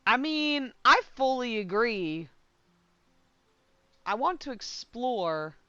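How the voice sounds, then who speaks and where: A woman's voice: fairly high pitch, very animated, slow pace, fairly bright tone, smooth, dry recording, highs cut off. A woman streaming a game, giving casual, informal and rambling commentary on a close mic.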